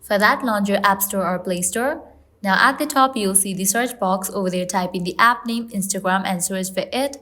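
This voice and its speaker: A woman narrates a how-to tutorial at a medium pitch. She delivers scripted instructions in short, measured phrases, guiding the listener step by step. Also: a bit bright.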